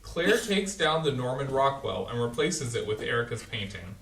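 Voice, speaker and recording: A man reading stage directions aloud at a staged play reading, in plain, even narration. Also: medium pitch, normal speed, slight background noise. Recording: distant, roomy stage sound.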